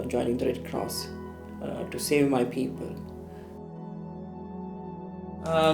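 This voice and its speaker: Middle-aged guy from sri lanka telling old war memories, kinda gravelly voice, stops and restarts a lot, echoey room with faint music under it. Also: medium pitch. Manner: hesitant, many fillers and restarts. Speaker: interviewee recounting war memories. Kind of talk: documentary interview, personal recollection.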